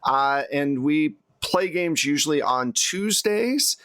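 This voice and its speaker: A man's voice: a little high, lively intonation, slow, sharp tone, no echo, clean studio sound. A man who plays on a tabletop game show, chatting casually and giving show announcements. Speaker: show regular and player.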